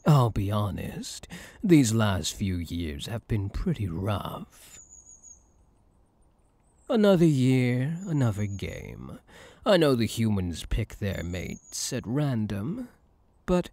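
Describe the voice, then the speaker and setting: A smug elf hunter, acted by a man in an intimate ASMR audio roleplay. He is possessive and seductive, speaking slowly and deliberately with teasing swoops in pitch. The sound is dry and close. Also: big pitch swings, mellow, no echo. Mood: confiding, possessive.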